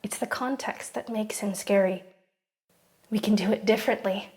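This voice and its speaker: A woman playing a hurt but resolute young woman in an audio drama, at an ordinary pitch. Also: steady tone, moderate pace, darkish tone, close to an everyday voice. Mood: bitter, reflective. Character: wounded but resolute young woman confronting her abuser.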